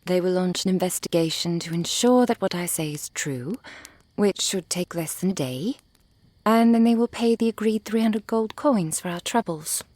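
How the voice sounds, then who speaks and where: A composed, cold-hearted merchant played by a woman in an ASMR roleplay drama. The voice sits low and is recorded very dry and close. Every phrase is formal and precisely measured, with a refined polish. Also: mellow, no room sound, natural voice. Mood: cool, matter-of-fact.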